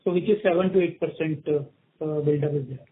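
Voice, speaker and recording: A man's voice: a little high, brisk. A man with an Indian accent, a finance executive explaining company costs to an analyst on a conference call. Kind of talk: answering analyst questions on an earnings conference call. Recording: tinny phone conference line.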